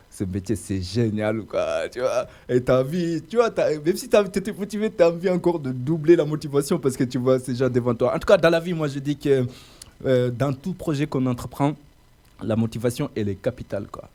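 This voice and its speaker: A young man with a warm, mellow voice tells his story in a relaxed, chatty way. He talks with earnest conviction and keeps dropping casual conversational fillers as he goes. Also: mid-range voice, brisk. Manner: earnest, colloquial, heavy use of "tu vois" and "quoi" fillers. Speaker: radio presenter interviewed as guest.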